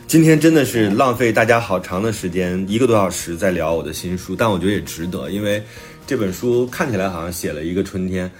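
A man's voice: deep voice, fast, faint music behind. A man speaking as a guest author in a casual conversation, reflective and self-deprecating. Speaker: author guest discussing a new book.

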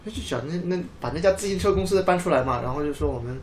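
A man co-hosting a casual motor racing chat, often repeating words and agreements in quick succession. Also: high-pitched, expressive, darkish tone, slight background noise. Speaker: podcast co-host.

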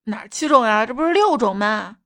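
A woman's voice: a little high, animated, brisk, sharp tone, no echo, clean studio sound. A woman speaking as a mother and comic storyteller, in playful, coaxing scripted chat addressed to a child. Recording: background music under the voice.

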